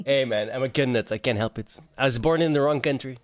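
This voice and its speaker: A man's voice: medium pitch, fast, no room sound. Joking man from Belgium in game chat. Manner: joking, self-mocking. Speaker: gamer in voice chat. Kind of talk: casual gaming chat.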